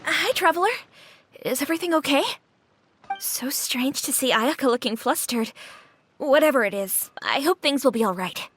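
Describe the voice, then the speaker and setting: Friendly, high-voiced restaurant girl. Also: animated, normal speed, fairly bright tone, faint music behind. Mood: concerned. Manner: casual, friendly, earnest. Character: friendly, caring young woman, restaurant worker. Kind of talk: video game voice acting.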